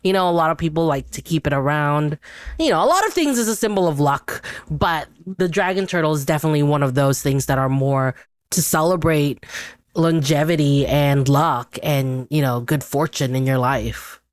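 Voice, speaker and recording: A woman's voice: deep voice, normal speed, dry recording. A woman from Brunei chatting casually as a podcast guest. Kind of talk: casual discussion.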